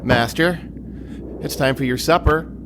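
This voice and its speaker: A man's voice: mid-range voice, measured pace, noisy background, close to an everyday voice. A man playing a meek, servile monk in an audio drama, with a pleading, deferential manner.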